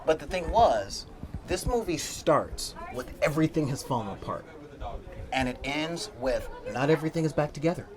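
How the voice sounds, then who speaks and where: A man's voice: a little high, normal speed. A middle-aged man on a convention panel, talking casually and acting out quoted characters as he tells a story. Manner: animated storyteller, acts out quoted voices. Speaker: panel guest. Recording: crowd noise, wind on mic.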